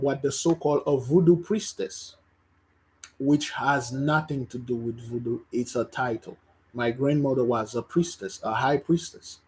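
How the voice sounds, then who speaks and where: A man delivers an informal online sermon at a mid-range pitch. He presses his points hard, repeats whole phrases for weight, and trips over words before starting again. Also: a little mellow. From Haiti. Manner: emphatic, repeats phrases, stumbles and restarts. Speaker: religious teacher.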